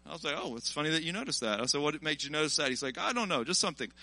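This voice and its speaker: A man in his early forties preaching an informal sermon in an animated, colloquial, exhorting way. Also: a little high, racing, no room sound. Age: early 40s. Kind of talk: informal sermon to a live congregation, with personal testimony.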